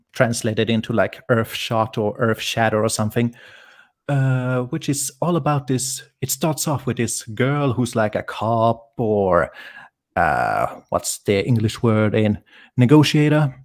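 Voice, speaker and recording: A man's voice: medium pitch, lively intonation, slow pace. A man, a guest writer on a video call, rambling and thinking aloud with fillers. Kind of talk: casual livestream chat.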